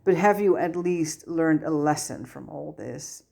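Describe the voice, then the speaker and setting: A gentle, patient wizard schoolmaster in a storybook reading, voiced by a woman. He is a grown man who guides kindly and holds firm. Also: low voice, unhurried, vocal fry, heavily acted. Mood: gentle, sympathetic. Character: kindly, firm adult male wizard teacher and mentor.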